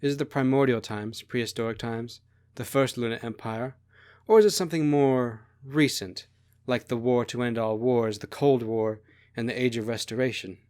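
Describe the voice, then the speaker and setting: A man voicing an obliging young princess who explains things quietly and reflectively in a story. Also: medium pitch, moderate pace, natural voice.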